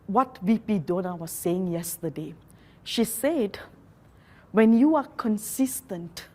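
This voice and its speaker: A woman from Malaysia, not a native English speaker, giving an earnest motivational talk with slow, emphatic phrases. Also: mid-range voice, darkish tone. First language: a Malaysian local language.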